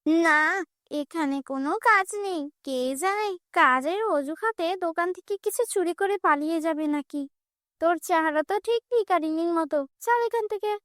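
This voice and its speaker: A woman's voice: very high voice, normal speed, a bit bright, dry recording, studio quality, pitched above the performer's own voice. A woman voicing a rude, suspicious shopkeeper woman in a cartoon, sharply dismissive.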